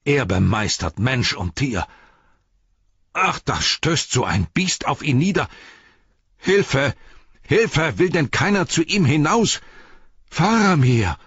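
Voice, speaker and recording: A man's voice: a little high, very animated, slow, long pauses, fairly bright tone, breathy edge, no room sound, lo-fi sound, pitched above the performer's own voice, full character voice. A man voicing an anguished, loyal guardsman in a storybook reading, crying out urgently and dramatically. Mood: anguished, desperate. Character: anguished loyal male guardsman, desperate for his captain.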